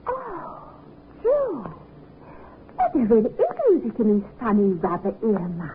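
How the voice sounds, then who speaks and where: A woman's voice: a little high, wildly swooping pitch, measured pace. An actress playing a flirtatious, wistful Englishwoman with a fluttery, hesitant manner in a 1950s radio drama. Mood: giddy, flirtatious. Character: flirtatious married Englishwoman on holiday, giddy and wistful. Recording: noisy, tinny 1950s radio recording.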